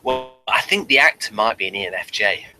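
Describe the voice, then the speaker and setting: A man hosting a casual review discussion, steering the talk in a halting way with repeated words. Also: on the low side, animated, bright, forceful tone, faint music behind, rough recording. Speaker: podcast host.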